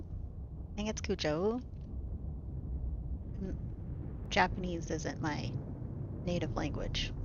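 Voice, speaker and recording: A woman streaming from home talks into her desk mic, reading game narration and chatting with viewers in a loose way, with plenty of "like" and "um." She speaks slowly in a slightly low voice. Her stream audio sounds a bit muffled, with a faint hiss underneath. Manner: casual, soft-spoken, full of "like" and "um" fillers. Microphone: desk microphone.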